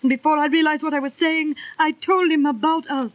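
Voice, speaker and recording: A fretful young sweetheart played by an actress with a very high voice, pleading in adoring radio melodrama style. It sounds like a muffled thirties recording. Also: female voice, steady tone, a little fast, no room sound, natural voice. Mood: frightened. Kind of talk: 1930s radio melodrama acting.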